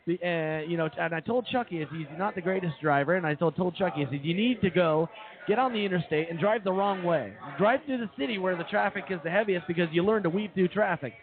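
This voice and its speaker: A man's voice: high-pitched, fast talker. A man co-hosting a comedy radio show in casual banter, talking in boastful hyperbole and repeating his phrases. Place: live show at a brewing venue. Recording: phone-like thin audio with crowd chatter. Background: crowd chatter.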